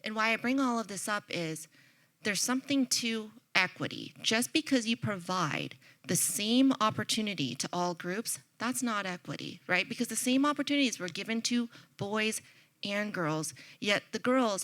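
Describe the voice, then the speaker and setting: Panelist: middle-aged woman, bright sharp voice, animated and passionate storyteller. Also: mid-range voice, rough recording.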